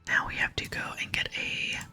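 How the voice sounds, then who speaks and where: A woman whispering softly and unhurriedly close to the microphone as she hosts an ASMR gaming playthrough. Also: normal speed. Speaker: gaming video host. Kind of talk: whispered ASMR game playthrough with reading aloud. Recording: close whisper with music behind.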